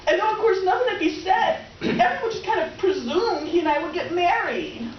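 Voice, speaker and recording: An actress plays a melodramatic middle-aged old flame in a comic stage reading, her voice very high and lively. She gushes, clings and teeters between giggles and tears. The recording is muffled, with some noise. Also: a little fast. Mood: wounded, tearful. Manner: gushing, theatrical, swinging from laughter to tears. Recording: roomy, distant, muffled noisy live recording.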